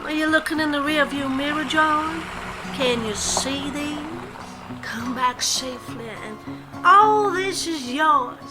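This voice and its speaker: Lovestruck farm wife female actor, high, drawn-out flirty delivery, comic western. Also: music in the background, low-quality recording. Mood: yearning, seductive. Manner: theatrical, flirtatious. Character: lovestruck, shamelessly seductive farm wife calling farewell. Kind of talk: comedy western audio drama.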